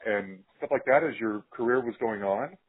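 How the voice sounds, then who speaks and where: Casual male podcast host, band-limited phone-like audio, husky tone, loose rambling questions. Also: on the low side, normal speed. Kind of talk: casual interview chat.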